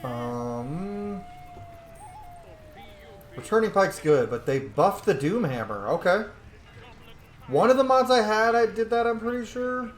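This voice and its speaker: A man's voice: high voice, sing-song, slow, long pauses. Guy streaming a game, super casual, swears a bit, voice jumps around, echoey room. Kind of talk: casual gameplay commentary with muttered asides.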